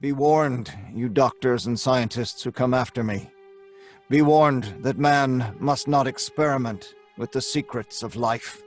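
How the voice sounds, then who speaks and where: A man's voice: mid-range voice, unhurried, close to an everyday voice. An actor playing an earnest, obsessed young scientist telling his grim tale in an old-time radio drama style. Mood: grave, haunted. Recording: music under the voice.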